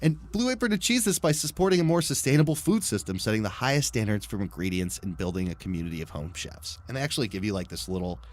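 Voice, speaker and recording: Lively low-voiced man chatting through an ad. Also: quick pace, few pauses. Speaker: podcast host reading sponsor. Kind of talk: casual ad read, chatty asides.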